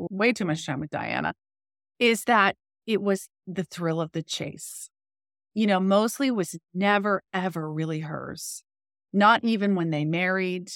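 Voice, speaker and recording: A woman author being interviewed, explaining in a conversational, expressive, emphatic storytelling way. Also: mid-range voice, dry recording, studio quality.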